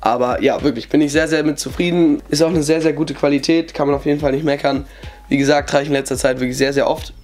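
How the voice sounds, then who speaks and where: A man's voice: fairly high pitch, fairly even intonation, quick pace, a little mellow, soft background music. A young man with a cold, chatting casually through his sneaker collection at a desk indoors, full of fillers. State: has a cold. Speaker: sneaker vlogger. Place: indoor bedroom with shoe shelves.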